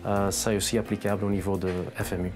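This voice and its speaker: A man's voice: on the low side, flat delivery, fast talker, a little mellow. A man explaining a product in a matter-of-fact way, as a specialist in a corporate testimonial. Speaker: telecom solution specialist. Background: background music.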